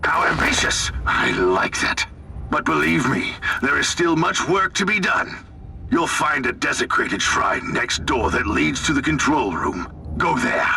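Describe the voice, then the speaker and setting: An actor playing a zealous, battle-hungry military commander in a video game, bombastic and brusque. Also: male voice, fairly high pitch, very animated, a little slow, vocal fry, noisy background, full character voice. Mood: grimly jubilant. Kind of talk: dramatic video game voice acting.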